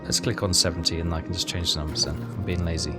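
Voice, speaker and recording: A man's voice: deep voice, little pitch movement, fast talker. A man giving casual game commentary and reading text aloud, hesitant and repeating himself as he thinks aloud. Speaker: let's player. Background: game music.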